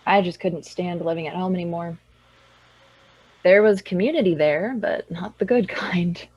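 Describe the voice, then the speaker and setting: A woman's voice: lowish voice, moderate pace, darkish tone, slightly rough, highs cut off. A young actress playing a tipsy, dry-witted woman from a small town in a radio drama. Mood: wry, tipsy.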